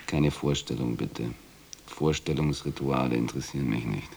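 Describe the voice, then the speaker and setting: A man's voice: rumbling low, normal speed, vocal fry. An actor playing a surly male loner, curt, dismissive and scornful, in a radio drama. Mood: dismissive, irritated. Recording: loud background noise with clicks.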